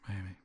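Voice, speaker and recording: A man speaking softly and reflectively in a romantic ASMR roleplay, sighing often and sometimes dropping to a whisper, close to the mic. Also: dry recording. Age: older. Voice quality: soft, intimate, drifts into whispers.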